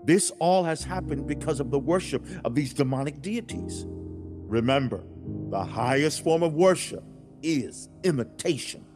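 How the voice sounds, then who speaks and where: A man's voice: fairly high pitch, expressive. Man voicing a solemn, preaching God, music behind. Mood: grave, lamenting. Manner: deliberate, preaching, emphatic enunciation. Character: God speaking directly to humankind, solemn, fatherly and grave. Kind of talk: dramatized audiobook monologue.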